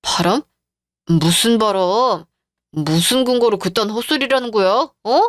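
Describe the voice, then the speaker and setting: A woman's voice: medium pitch, unhurried, dry recording, clean studio sound, close to an everyday voice. A woman doing a rude bullying husband. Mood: defensive. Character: callous cheating middle-aged husband.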